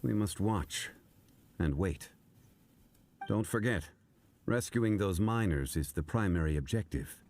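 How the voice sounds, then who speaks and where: An actor playing a calm, dignified, scholarly man in a video game, speaking formally and in measured phrases. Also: low voice, slight background noise. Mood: composed, grave. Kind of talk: scripted video game character acting.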